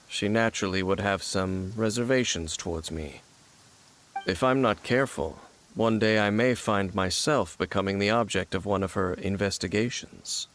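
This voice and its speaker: Composed, lowish-voiced mysterious warrior played by a man. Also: fairly bright tone, a little hiss or hum, close to an everyday voice. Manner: composed, measured, formal. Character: reserved, analytical, mysterious male warrior. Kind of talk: video game voice acting.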